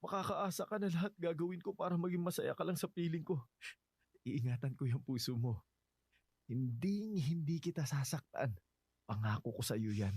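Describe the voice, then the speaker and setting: A man's voice: fairly high pitch, animated, moderate pace, a little mellow, no room sound. A man with a Filipino accent playing a devoted suitor in love in a romantic radio drama, earnest and emotive. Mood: overjoyed.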